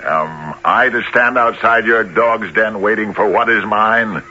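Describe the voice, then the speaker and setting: A man playing an arrogant, menacing man-eating tiger in an old-time radio drama, with an imperious, gravelly delivery. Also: fairly low pitch, bright, forceful tone. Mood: imperious demand. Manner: imperious, slow, threatening. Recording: muffled vintage radio with faint noise.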